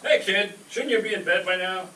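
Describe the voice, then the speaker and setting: A man's voice: high-pitched, normal speed, pushed and bright. A man playing a shifty, impatient thief posing as Santa in a comic radio skit. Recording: echoey, distant stage recording, faint noise.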